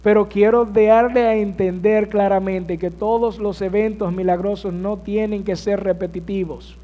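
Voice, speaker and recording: A man's voice: sits high, fairly even intonation, normal speed, highs cut off. A man preaching a sermon as pastor to his congregation, asking them questions and answering them himself. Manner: addresses congregation with rhetorical questions. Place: church sanctuary. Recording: church hall reverb.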